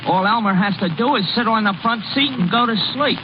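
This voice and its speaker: An actor playing an eager, excitable, nagging kid brother with folksy, pleading exclamations, in old radio comedy style. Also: male voice, high voice, brisk, natural voice. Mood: teasing. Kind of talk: 1930s-40s radio comedy acting. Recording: muffled, noisy old radio broadcast.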